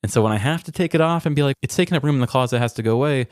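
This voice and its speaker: A man's voice: medium pitch, rapid-fire, a little mellow, smooth, no echo, studio quality. A man hosting a podcast, talking in a candid, reflective and self-deprecating way. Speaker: podcast host. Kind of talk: candid personal conversation.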